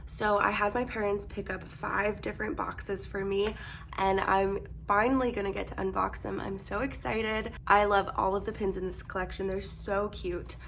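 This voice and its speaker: Young woman, hoarse, gravelly voice, bubbly unboxing chat, faint background hiss. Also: mid-range voice, normal speed. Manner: bubbly, gushing excitement. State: losing her voice, hoarse. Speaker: vlogger.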